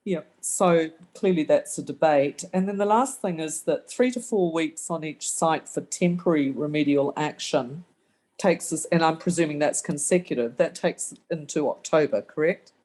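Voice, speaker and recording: A woman's voice: deep voice, moderate pace, a little mellow, poor audio. A woman from New Zealand with a slight New Zealand accent, a resident on a video call pressing officials with pointed questions at a community meeting. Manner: direct, pressing follow-up questions.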